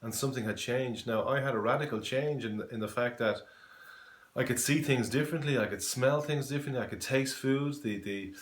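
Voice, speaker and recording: A man in his forties from Dublin with a Dublin Irish accent, giving an earnest personal faith testimony, halting with many fillers and restarts. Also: mid-range voice. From Ireland. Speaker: testimony giver. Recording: echoey room.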